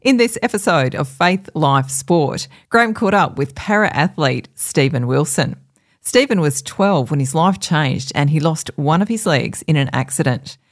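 A woman hosting a radio segment, reading a scripted introduction in a friendly, steady way. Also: low-pitched, a little slow. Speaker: program host.

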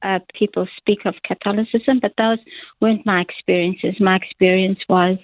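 A woman's voice: medium pitch, fairly even intonation, normal speed, no room sound. A woman in her sixties from South Africa, telling her life story as an interview guest in a chatty, rambling way with frequent laughs. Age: 60s. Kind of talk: casual testimony interview. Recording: tinny phone line.

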